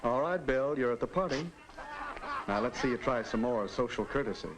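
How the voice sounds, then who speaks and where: A man's voice: mid-range voice, animated, normal speed, lightly acted. An actor playing a fatherly narrator who coaches a teenager in manners in a 1950s educational film. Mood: friendly coaching. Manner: coaching, instructive. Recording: noisy old 1950s film soundtrack.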